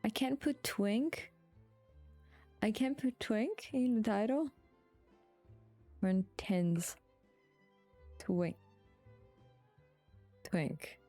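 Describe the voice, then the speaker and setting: A woman's voice: a little high, lively intonation, drawn-out words, long pauses, mellow, faint music behind. A woman chatting casually on a live game stream, informal and slangy with some swearing. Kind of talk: casual gaming stream chat with viewers.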